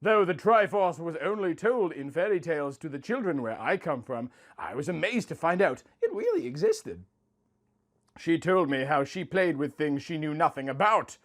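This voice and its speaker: A man narrating a scripted first-person story. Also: on the high side. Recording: music under the voice. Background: music.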